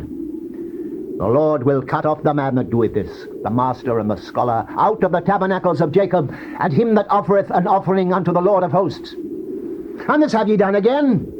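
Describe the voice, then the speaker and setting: A man giving a solemn, theatrical dramatized reading of the King James Bible in a declamatory manner. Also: sits high. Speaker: scripture narrator. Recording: dull, noisy old cassette tape.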